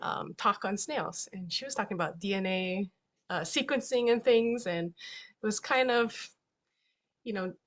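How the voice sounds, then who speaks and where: A woman's voice: mid-range voice, measured pace, fairly bright tone. A woman from Hawaii giving a casual online presentation as a stewardship coordinator, chatty, with frequent ums and Hawaiian words mixed into her talk. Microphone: computer mic on a video call.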